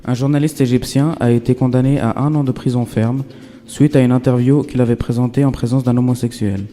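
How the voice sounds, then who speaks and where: A man reading the week's news items for a school radio show in an even, read-aloud way. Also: mid-range voice, a little fast. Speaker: student news presenter.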